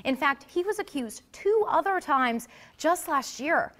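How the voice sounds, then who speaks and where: A woman's voice: sits high, expressive, unhurried, a bit bright. An American woman working as a local TV news anchor, presenting to viewers.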